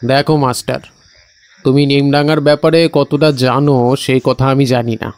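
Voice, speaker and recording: A man playing an evasive village local who knows dark secrets, talking hesitantly and coaxingly in an audio horror story. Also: medium pitch, steady tone, moderate pace, dry recording, natural voice.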